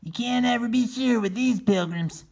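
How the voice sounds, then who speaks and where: A man voicing a tough, bold, scheming turkey leader in a read-aloud storybook, loud and emphatic. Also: very high voice, normal speed, gravelly, voice pushed up, heavily acted. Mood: wary.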